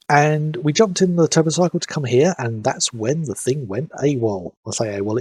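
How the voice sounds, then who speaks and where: A man's voice: a little high, husky, no echo. A man, sniffly from hay fever, chatting casually as he streams a game and recaps the story. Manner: rambling, fills pauses with "um". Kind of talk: casual live-stream commentary.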